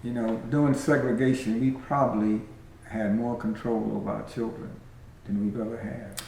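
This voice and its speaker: A man's voice: mid-range voice, steady tone, normal speed, gravelly, darkish tone, very echoey, a little hiss or hum, rough recording. An older man, a community elder, talking emphatically in a casual group conversation and repeating his key phrases.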